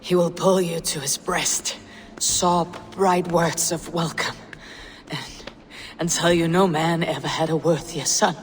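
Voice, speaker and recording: Slow, solemn Viking warrior. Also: female voice, sits low, steady tone, a little hiss or hum. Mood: tender. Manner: measured, grave, formal. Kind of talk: dramatic video game acting. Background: music.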